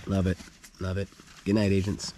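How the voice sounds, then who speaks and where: A man's voice: low-pitched, monotone, normal speed, dark tone, a little hiss or hum. An American man in his thirties hosting a camping vlog, talking to a handheld camera outdoors and laughing often. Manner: upbeat, laughs often, talks straight to camera. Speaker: outdoor vlogger host. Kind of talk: casual camping vlog chat. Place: outdoors in a rainy forest camp. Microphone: handheld camera held close.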